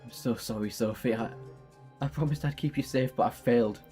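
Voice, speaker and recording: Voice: mid-range voice, brisk, dark tone, faint music behind, lightly acted. An actor playing an earnest, compassionate alien hero in a sci-fi audio drama, urgent and apologetic in manner. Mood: sorrowful. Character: earnest, compassionate alien time-traveller hero, pleading and self-sacrificing.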